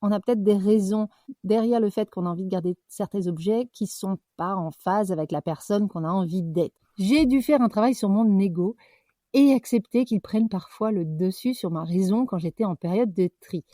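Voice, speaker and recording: A young woman hosting a podcast, reflecting out loud in a loose, conversational way with fillers and self-corrections. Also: mid-range voice, moderate pace, no echo, clean studio sound. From French-speaking, living abroad. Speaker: podcast host. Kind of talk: personal reflective monologue.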